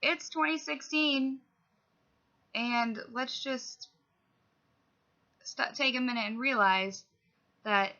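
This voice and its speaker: A young woman with a bright, gravelly edge to her voice rambles through a casual vlog update, sniffling from a cold. She strings her thoughts together with fillers and little asides. The audio is dull and lo-fi, as if recorded at home on basic gear. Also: high voice, slow, pauses often. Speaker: vlogger. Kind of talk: casual update chat to camera.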